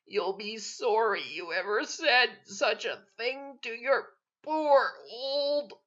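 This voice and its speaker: A woman's voice: on the high side, takes it slowly, a bit bright, dull audio, voice pushed up. A woman voicing a groaning, theatrical old uncle who complains reproachfully with a lilt.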